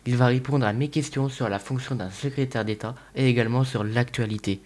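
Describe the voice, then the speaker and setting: A man's voice: mid-range voice, steady tone, fairly quick, a little mellow, natural voice. A man playing a news interviewer who welcomes his guest formally and asks questions with frequent hesitations. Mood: polite. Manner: polite, formal, many hesitation sounds. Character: male news agency interviewer, polite and formal. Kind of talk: roleplay interview.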